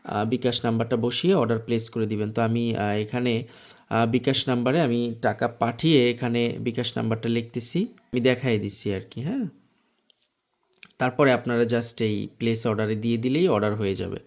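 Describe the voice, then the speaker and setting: A man with a Bangladeshi accent presenting an informal software tutorial, explaining things step by step. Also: medium pitch, steady tone, moderate pace. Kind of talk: informal screen tutorial narration.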